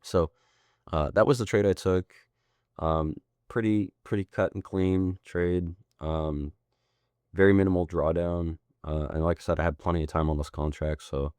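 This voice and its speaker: A man working as a trading mentor, hoarse from being sick, walking through a chart in a loose, rambling way with frequent tag questions. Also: a bass voice, a little mellow, no room sound, studio quality. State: hoarse, sick and losing his voice. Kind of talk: casual chart walkthrough.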